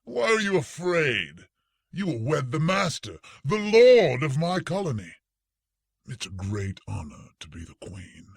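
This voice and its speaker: A man's voice: a little high, big pitch swings, unhurried, creaky, husky, dry recording, studio quality, full character voice. An actor playing a bloodthirsty male vampire in an audio drama, menacing and theatrical.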